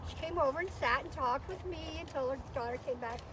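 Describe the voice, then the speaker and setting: A woman with a high voice shares memories in a casual, conversational way. She is outdoors, and there is clear noise around her. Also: fast. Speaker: guest sharing a tribute. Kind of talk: casual reminiscing. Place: outdoors in an iris garden. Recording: noisy outdoor recording without microphone.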